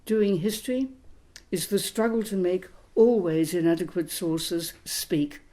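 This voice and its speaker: Older woman, mellow reflective lecture voice. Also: fairly low pitch. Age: 80s. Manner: measured, literary. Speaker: historian giving a recorded talk.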